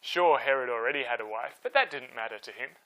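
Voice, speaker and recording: A man's voice: medium pitch, lively intonation, fast talker, sharp tone, low-quality recording. A man hosting a storytime, telling history from a script in an emphatic, conversational way. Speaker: storyteller host.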